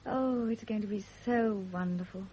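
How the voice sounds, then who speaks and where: An actress playing a devoted, anxious young wife in a radio drama, earnest and apologetic. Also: female voice, mid-range voice, moderate pace, dark tone, close to an everyday voice. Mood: tender, wistful. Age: young adult. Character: anxious, devoted young pregnant wife, self-blaming and emotional. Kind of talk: period radio drama acting. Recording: dull, noisy old radio drama recording.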